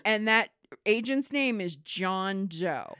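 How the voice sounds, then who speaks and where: Animated woman telling call-center stories. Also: medium pitch, slow pace, clean tone, dry recording, studio quality. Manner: animated storyteller, acts out callers and swears freely. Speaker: podcast co-host. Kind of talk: casual storytelling chat.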